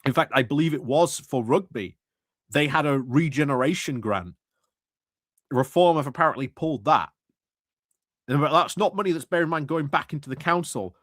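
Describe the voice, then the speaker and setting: A man's voice: a little high, moderate pace, a bit bright, no room sound, studio quality. A man hosting a stream, giving rambling, sardonic commentary on local politics with frequent restarts and chuckles. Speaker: stream host commenting on council meetings.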